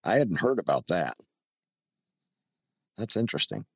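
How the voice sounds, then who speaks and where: A man's voice: low voice, big pitch swings, moderate pace, husky, dry recording, clean studio sound. Enthusiastic man hosting a podcast. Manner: enthusiastic, effusive praise. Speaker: podcast host. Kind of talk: casual interview chat.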